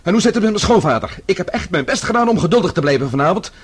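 An actor playing an impatient young husband in a radio play, husky and heated with exasperation. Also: male voice, sits high, animated, very fast. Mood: exasperated. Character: impatient, agitated young husband seeking his missing wife.

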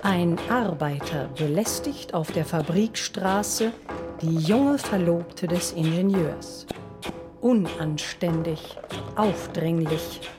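A woman's voice: fairly low pitch, slow. A woman narrating scripted scenes in clipped, declarative phrases. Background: music.

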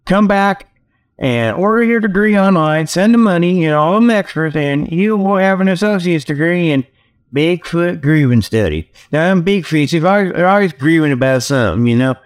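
A man hosting a comedy show, giving a rambling, folksy monologue full of mock sales pitches. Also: animated, normal speed, smooth. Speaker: host of a comedy talk show.

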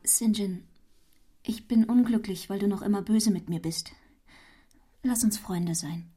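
An actress in a German radio play, playing an earnest, quietly defiant young woman who speaks with measured, firm intensity. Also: medium pitch, breathy edge, soft background music. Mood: hurt pleading.

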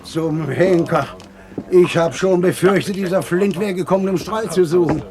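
High-voiced, edgy ship's mate. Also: male voice, moderate pace, a crowd in the background. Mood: alarmed, relieved. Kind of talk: German radio play acting.

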